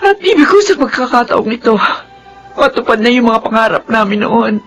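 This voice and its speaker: Anguished, high-voiced Filipina mother. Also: sing-song, normal speed, faint music behind. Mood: sorrowful. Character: anguished, loving middle-aged mother, torn and stern. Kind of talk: Filipino radio drama acting.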